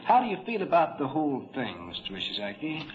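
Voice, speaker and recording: A man's voice: a little high, wildly swooping pitch, quick pace, background noise. A man hosting an old-time radio program, interviewing and narrating in a folksy, conversational manner. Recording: dull, muffled old radio recording.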